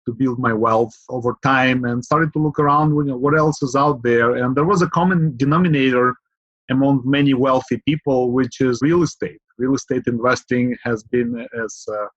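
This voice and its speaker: A middle-aged man from Ukraine, speaking English as an in a steady, earnest manner. He works through his points at a measured pace, dropping fillers and asking "right?". The sound is dry and a bit dull, like a remote call. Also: medium pitch, no echo. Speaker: guest, real estate investor. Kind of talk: podcast interview.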